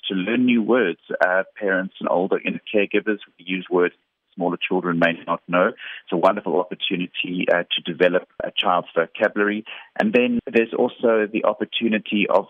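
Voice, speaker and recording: Low-voiced South African man on a tinny line. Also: no echo. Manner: earnest, explanatory. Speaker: interviewee, reading campaign spokesperson. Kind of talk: conversational radio interview. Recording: narrow-band, tinny phone-like line.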